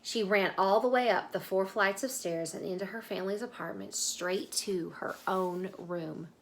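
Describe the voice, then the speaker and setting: A woman reading a children's story aloud expressively, dramatic and dropping into hushed asides to the listener. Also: medium pitch, moderate pace, a little hiss or hum, low-quality recording. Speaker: storybook reader.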